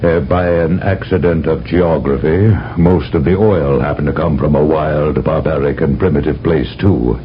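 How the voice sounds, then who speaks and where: An actor playing a grave, wry military general who gives measured orders in a 1970s radio drama. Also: male voice, a bass voice, steady tone. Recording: dull old radio recording with faint noise.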